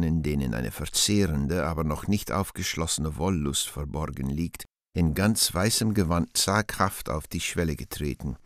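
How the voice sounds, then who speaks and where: A man narrates a literary radio drama. His voice is extremely deep, set in a dry studio with no room sound. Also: no room sound.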